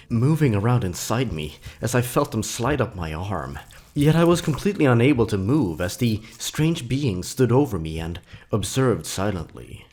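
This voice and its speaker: A man's voice: on the low side, darkish tone. A man narrating scripted horror stories in a suspenseful, theatrical manner.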